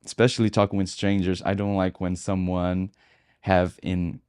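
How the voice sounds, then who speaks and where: Deep, smooth man with a Brazilian accent. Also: little pitch movement. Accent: Brazilian Portuguese. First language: Portuguese. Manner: soft-spoken, shy, measured. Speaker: podcast co-host. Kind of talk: casual conversation.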